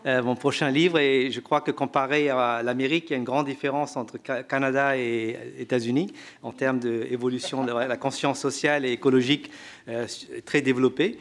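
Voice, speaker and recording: A man's voice: mid-range voice, steady tone, fairly quick. A man on a conference panel answering an audience question, hesitant and full of fillers and restarts.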